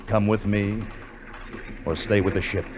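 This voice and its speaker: Male actor as unyielding seafarer, lowish voice, calm, firm, coolly menacing, old radio drama, dull recording. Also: unhurried, close to an everyday voice. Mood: firm, threatening. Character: calm, shrewd, unyielding seafaring partner turned accuser. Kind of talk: 1950 radio drama acting. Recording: muffled, noisy vintage radio recording.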